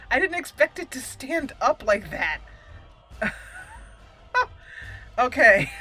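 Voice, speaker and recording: A woman's voice: high voice, pushed and bright. A woman hosting a casual let's play, chatty and reactive, thinking aloud as she plays. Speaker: gaming channel host.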